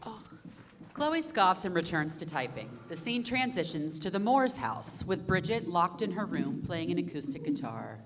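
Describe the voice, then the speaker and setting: A young woman reads stage directions in a plain, measured way, her voice on the lower side yet lively in pitch. A long hall reverb and faint noise sit around her. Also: crowd noise. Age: young adult. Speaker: narrator reading stage directions. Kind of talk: staged reading narration.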